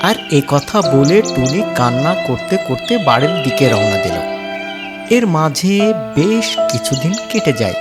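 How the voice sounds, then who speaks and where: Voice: medium pitch, sing-song, unhurried. A narrator reading a scripted children's story. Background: background music.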